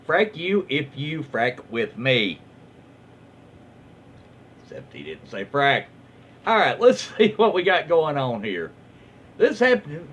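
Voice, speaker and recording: Southern us guy reading stories out loud, kinda high voice, informal, bit lo-fi w/ some background noise. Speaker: host reading online stories aloud. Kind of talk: casual read-aloud storytelling. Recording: muffled, lo-fi with faint background noise.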